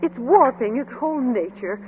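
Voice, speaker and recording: A woman's voice: high-pitched, expressive, moderate pace, narrow, tinny audio. An actress playing an anxious, caring wife and mother in an old-time radio drama, with a fretful, pleading manner. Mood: worried. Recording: crackly, band-limited vintage radio broadcast.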